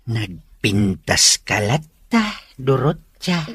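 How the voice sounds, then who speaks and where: A man playing a swoopy, husky flirtatious village father. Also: mid-range voice, big pitch swings, extremely slow, a bit bright, no echo, exaggerated voice. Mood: playful. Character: teasing, flirtatious village father courting a vegetable seller. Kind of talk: Ilocano radio drama acting.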